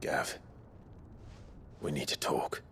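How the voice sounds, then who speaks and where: An actor playing a brooding, weary warrior leader in a game cutscene, with a hushed, gravelly, measured delivery. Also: male voice, slight background noise. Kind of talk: dramatic video game cutscene acting.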